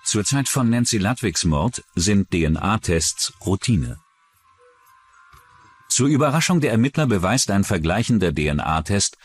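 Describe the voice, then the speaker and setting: Male true-crime documentary narrator, deep register, animated delivery, scripted read. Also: husky.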